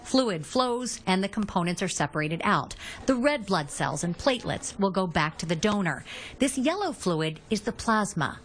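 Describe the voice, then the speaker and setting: A woman's voice: mid-range voice, expressive, normal speed. A woman with an American accent reading scripted broadcast news as a medical reporter.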